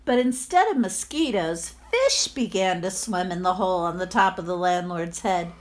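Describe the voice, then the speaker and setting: A woman's voice: on the low side, animated, normal speed. A woman reading a children's storybook aloud expressively, like a teacher to her pupils.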